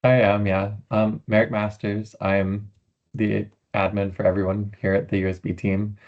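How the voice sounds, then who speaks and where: A man giving a brief, low-key introduction on a video call, sitting away from his mic. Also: little pitch movement, normal speed. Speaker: team administrator. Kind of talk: casual introduction. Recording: dull audio, away from the mic.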